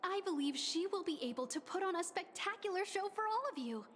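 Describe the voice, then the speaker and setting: A woman's voice: very high-pitched, animated, brisk. A woman voicing a courteous, formal young hotel receptionist in a game. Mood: puzzled.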